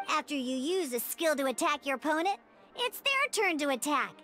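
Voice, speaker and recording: Tiny excitable girl sidekick, bright piercing tone, animated intonation, childlike, cheerful tutorial style, video game. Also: moderate pace, faint music behind, full character voice. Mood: cheerful, instructive. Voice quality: squeaky, childlike. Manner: perky, encouraging, exclamatory. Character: small bubbly excitable childlike girl companion and guide.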